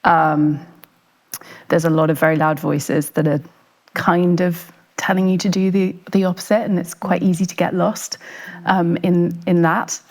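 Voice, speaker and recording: A woman's voice: lowish voice, level tone, a little slow, a little mellow. A woman talking as a podcast guest, thoughtful and hesitant, often repeating words as she finds them. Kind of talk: casual podcast conversation.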